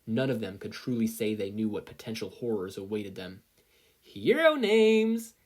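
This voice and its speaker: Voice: mid-range voice, fairly even intonation, brisk, dark tone. A narrator reading fan fiction aloud expressively, as a story with character voices. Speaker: podfic reader, narrator.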